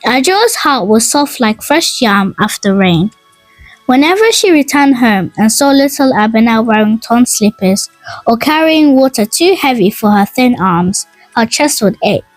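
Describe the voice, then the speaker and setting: A woman narrating a scripted folk tale in a dramatic, expressive and measured storytelling manner. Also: fairly high pitch, no room sound, low-quality recording. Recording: dry voice over music. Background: music.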